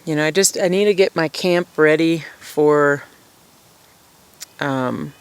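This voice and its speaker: A woman with a low, smooth and resonant voice talks casually to her viewers from a forest camp. She rambles in a friendly, self-deprecating way. A mic mounted on the camera picks her up outdoors. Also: slow, no room sound. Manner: rambling, chatty, laughs often, self-deprecating. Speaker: vlogger living at a wilderness camp.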